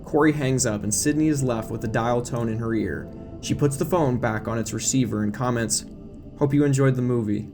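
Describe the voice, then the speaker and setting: A man narrates an audio drama in a scripted, dramatic style. His pitch sits in the middle range for a man. Music plays clearly underneath his voice. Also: a little fast. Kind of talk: scripted dramatic narration reading all parts.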